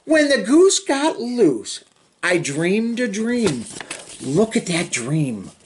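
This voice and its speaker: A man reading a children's picture book aloud in an expressive, sing-song way, with drawn-out phrasing and chatty asides. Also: high voice. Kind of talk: animated storybook read-aloud with chatty asides.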